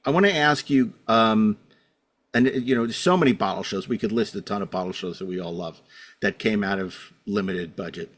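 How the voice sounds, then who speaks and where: A man's voice: fairly low pitch, moderate pace, a bit bright. A man hosting a podcast in a casual, wry and opinionated conversational style. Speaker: podcast host. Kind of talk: casual podcast interviewing and chat.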